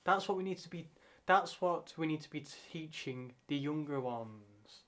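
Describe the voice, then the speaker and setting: A man reacting casually off the cuff, in a halting way, often repeating and rephrasing himself. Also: fairly high pitch, animated, fairly bright tone. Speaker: reactor and channel host.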